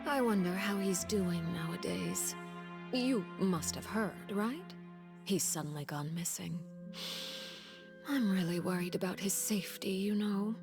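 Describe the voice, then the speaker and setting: An actress playing a poised, menacing woman in a video game, speaking politely and with measured control. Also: medium pitch, over music, natural voice. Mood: polite, veiled menace. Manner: polite, measured, veiled threat. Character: poised, menacing woman, head of a villainous order.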